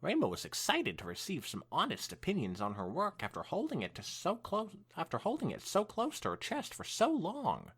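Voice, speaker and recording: A podcast co-host narrates fan fiction. The delivery is highly animated in pitch, moving between storytelling and casual comment. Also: moderate pace, few pauses, husky.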